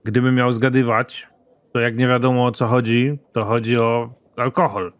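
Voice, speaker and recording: Man voicing a dim, cocky animated army major; lowered pitch, gruff deadpan delivery, crude temper. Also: normal speed, lightly acted. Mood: deadpan, stubborn. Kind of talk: animated comedy series dialogue.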